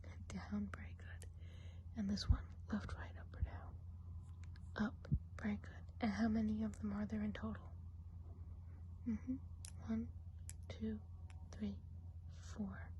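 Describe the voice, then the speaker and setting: A woman playing a friendly, gossipy witch of the woods in a hushed, chatty ASMR role play, in a soft breathy whisper. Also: mid-range voice, a little hiss or hum, close to an everyday voice. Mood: gentle, attentive.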